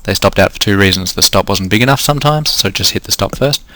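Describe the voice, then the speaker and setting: A man's voice: lowish voice, fast, pushed and bright, dry recording. A man with an Australian accent teaching a trading lesson, walking through numbers step by step and losing his voice. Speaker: trading coach. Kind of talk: screen-recorded lesson.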